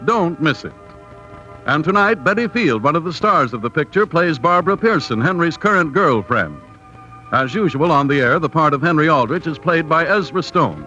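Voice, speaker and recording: Male radio announcer, 1930s style, bright forceful tone, very lively intonation, scripted, dull old recording. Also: on the high side, moderate pace, faint music behind.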